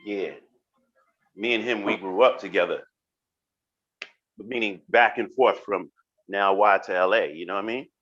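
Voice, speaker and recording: A man from New York hosting a casual livestream chat, telling stories in a relaxed, rambling way with many fillers. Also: on the low side, moderate pace, long pauses, dry recording, lo-fi sound. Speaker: host.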